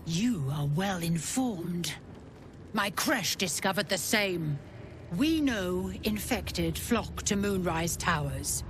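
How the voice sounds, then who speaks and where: A woman's voice: mid-range voice, noisy background. An actress playing a cold, proud female githyanki creche commander who speaks in a clipped, formal, commanding way. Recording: game audio with music behind.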